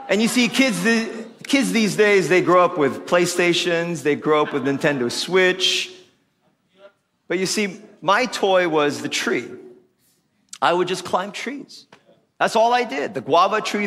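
A man in his forties, a pastor who grew up speaking Tagalog, preaching an animated, humorous sermon told as a personal story. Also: high voice, slight background noise. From Philippines. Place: church auditorium. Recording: long hall reverb.

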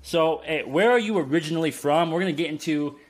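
A man's voice: fairly high pitch, a little fast, low-quality recording. A man hosting a podcast interview in an enthusiastic, effusive way. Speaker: podcast host. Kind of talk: casual interview.

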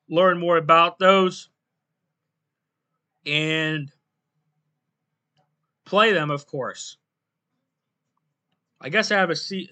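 A man hosting his own podcast in a casual, rambling solo talk, his voice going hoarse and often clearing his throat. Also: a little high, pushed and bright. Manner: rambling, loose and self-deprecating, many fillers. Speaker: podcast host.

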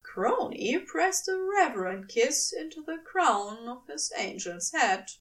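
A woman's voice: high-pitched, very animated, takes it slowly, far from the mic. A woman reading scripted fan fiction as its narrator.

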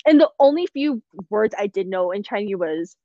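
A young woman, a podcast guest, telling lively stories in casual chat and laughing as she goes. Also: medium pitch, normal speed, no room sound, dull audio. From United States. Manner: lively storyteller, laughing through her anecdotes.

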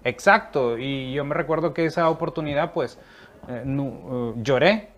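A Salvadoran man talks as a guest in an easygoing conversation. His voice sits at an ordinary middle pitch, and he speaks earnestly, pausing on fillers as he thinks. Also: fairly bright tone. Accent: Salvadoran Spanish. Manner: earnest, thoughtful, many fillers. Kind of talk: casual conversation.

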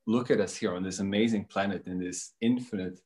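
A man from Bosnia, a spiritual coach, answering interview questions in a hesitant, musing way with many pauses. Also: lowish voice, a little mellow.

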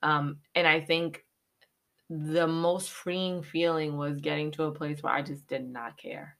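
A woman in her thirties co-hosting a casual podcast chat, candid and emphatic with animated asides. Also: deep voice, measured pace. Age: 30s. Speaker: podcast co-host.

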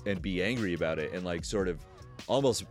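A man with a very low voice talks as a podcast guest, thoughtful and candid, catching on words now and then with a slight stutter and plenty of restarts. Music plays clearly behind him the whole time. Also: a little fast. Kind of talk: casual podcast conversation.

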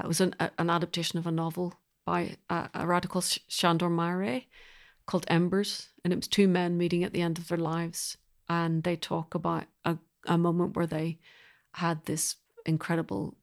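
Thoughtful woman with a fairly low, even voice. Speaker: composer, interview guest. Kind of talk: reflective interview conversation.

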